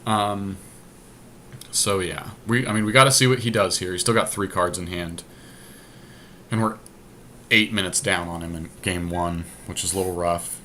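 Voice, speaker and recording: A man with a fairly low, forceful voice talks casually through a card game as he plays. He works out each move aloud for his teammate, step by step. The amateur capture has some noise behind him. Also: normal speed, pauses often. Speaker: podcast host playing an online card game. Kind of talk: casual gameplay commentary with a teammate. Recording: clear background noise, amateur screen capture.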